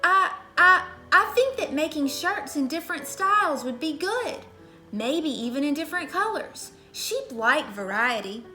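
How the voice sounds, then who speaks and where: Woman voicing a high, timid young ewe. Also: expressive, measured pace, bright, forceful tone, reverberant, soft background music, heavily acted. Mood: meek, stammering. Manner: timid, earnest. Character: meek, creative young female sheep factory worker.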